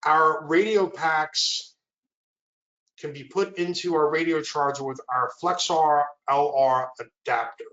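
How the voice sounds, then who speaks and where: A man's voice: on the high side, slow pace, reverberant, highs cut off. A man giving a product presentation, walking through slides and asking for the next one. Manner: steady explanatory walkthrough, asks for the next slide.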